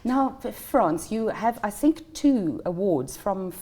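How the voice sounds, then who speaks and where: Female interview host, mid pitch, mellow tone, friendly and encouraging. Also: expressive, slow, breathy edge. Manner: friendly, with brief encouraging backchannels. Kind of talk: interview conversation.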